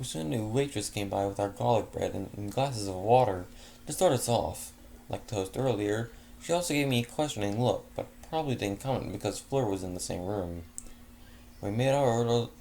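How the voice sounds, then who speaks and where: A man's voice: lowish voice, creaky, mellow, slight background noise. A man reading a first-person fanfic aloud in a casual way, often stumbling, correcting himself and making chatty asides. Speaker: fanfic reader.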